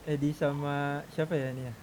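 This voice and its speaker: A man's voice: on the high side, flat delivery, brisk, crowd noise. A man chatting casually with fellow players during a roleplay game. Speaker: roleplay gamer.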